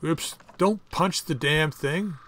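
A man hosting a casual game playthrough, chatting and thinking aloud as he crafts items, with his voice going a bit hoarse. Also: on the high side, takes it slowly, a bit bright. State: losing his voice. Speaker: gaming channel host. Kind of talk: casual let's-play commentary.

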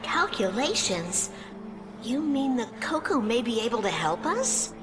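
A woman's voice: on the high side, big pitch swings, unhurried. A woman voicing an earnest, caring young female hedgehog heroine in a video game cutscene. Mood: hopeful. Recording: music behind the voice.

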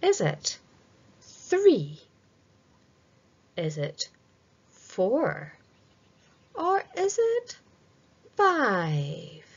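Middle-aged female quiz reader for children: very slow, sing-song delivery, lively pitch, slightly muffled webcam sound. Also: slightly rough. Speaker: quiz presenter reading aloud. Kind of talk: read-aloud multiple-choice quiz for children. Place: small room indoors.

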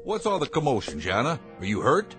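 Controlling inventor patriarch, formal and commanding, very dynamic delivery, music underneath. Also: male voice, mid-range voice, huge pitch swings, brisk. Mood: stern, angry. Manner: commanding, formal, precise diction. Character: stern, controlling inventor father, proud of his creations. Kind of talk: radio drama acting.

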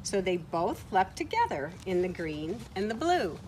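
A middle-aged woman reading a picture book aloud to children in an expressive way, recorded outdoors. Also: medium pitch, measured pace, rough recording. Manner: expressive, acting out short quoted words. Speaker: children's story time reader. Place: outdoors on a farm, beside horses. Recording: outdoor ambient noise from camera mic.

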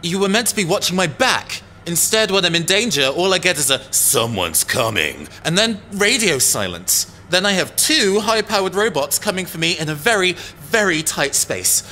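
A man playing a cocky, touchy young robot pilot and tinkerer in an audio drama, sarcastic and animated. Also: sits high, normal speed, few pauses, a little hiss or hum, exaggerated voice. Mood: aggrieved.

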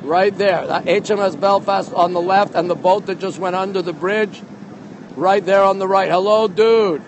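A middle-aged man hosting a casual walking live stream, chatty and excitable, often repeating himself as he reacts to viewers. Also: high voice, moderate pace. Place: outdoors on busy city streets, walking. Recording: outdoor street and traffic noise.

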